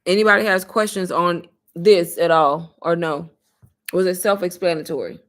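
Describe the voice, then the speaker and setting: A woman hosting a casual livestream, pitching an online course and taking viewers' questions in a chatty, repetitive way while calling her viewers "y'all.". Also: fairly even intonation, normal speed. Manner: chatty, repeats herself, addresses viewers as "y'all".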